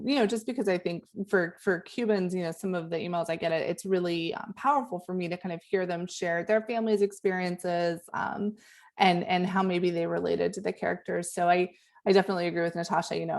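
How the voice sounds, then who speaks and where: A woman's voice: fairly low pitch, gravelly, darkish tone. A woman author in a virtual panel, speaking hesitantly with many ums and repeated words.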